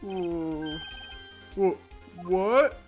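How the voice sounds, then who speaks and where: A man's voice: sits high, expressive, drawn-out words, soft background music, exaggerated voice. A man voicing a pompous, nervous male executive villain, theatrical and flamboyant, in a fan-dubbed courtroom game. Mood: rattled.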